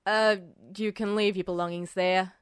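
A woman voicing tired adult policemen, gruff and polite, in a storybook reading. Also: steady tone, moderate pace, no room sound, clean studio sound, natural voice. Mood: tired, formal. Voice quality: deep, hoarse with sleep. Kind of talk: fan fiction audiobook character voice.

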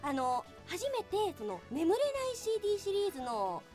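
A woman's voice: extremely high pitch, wildly swooping pitch, moderate pace, a little mellow, soft background music. A woman who works as a voice actress, hosting a casual interview in a giggly, polite and eagerly reactive manner. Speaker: voice actress hosting a bonus talk.

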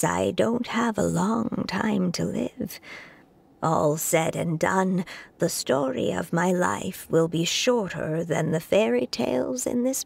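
Tender motherly creator, video game, low-leaning breathy husky voice, creaky edges. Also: exaggerated voice. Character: gentle, loving woman storyteller, short-lived creator of a child.

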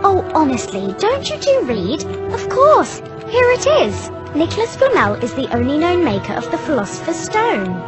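A woman's voice: sits high, wildly swooping pitch, moderate pace, few pauses, over music. A woman playing a bookish, bossy young witch in a video game, with an English accent and a prim, emphatic manner. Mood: excited, impatient. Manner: prim, emphatic, know-it-all. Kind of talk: video game cutscene acting.

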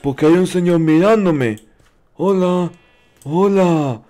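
Man doing a high-voiced, naive childlike noob. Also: warm tone, faint music behind. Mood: naive, cheerful. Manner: slow, simple-minded, repetitive childlike phrasing. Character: naive, dim-witted childlike Minecraft noob, male. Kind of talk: improvised comedic game roleplay.

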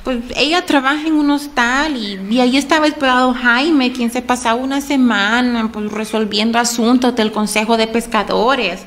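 An actress playing an outspoken, emotional Honduran immigrant shopkeeper in a radio soap, speaking expressively and colloquially with a Honduran accent. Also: female voice, fairly high pitch, steady tone, moderate pace, hardly any pauses, lightly acted. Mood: reminiscing. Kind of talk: radio soap opera acting.

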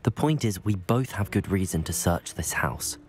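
A young man with an English accent playing an earnest, polite teenage wizard student in a video game, explaining things in a measured way. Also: low voice, lively intonation. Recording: background music under dialogue.

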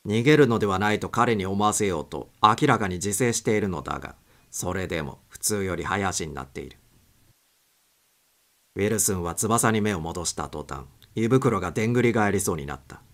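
A man's voice: on the low side, fairly bright tone. A man narrating a suspense story as a dramatic audiobook reading.

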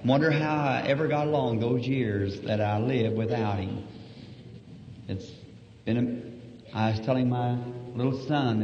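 A soft-spoken, humble man preaching in a church with a slightly hoarse voice and folksy asides. The old recording rings with long hall reverb and sounds dull and lo-fi. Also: medium pitch, slight background noise. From United States. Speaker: evangelist preacher. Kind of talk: sermon. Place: church auditorium.

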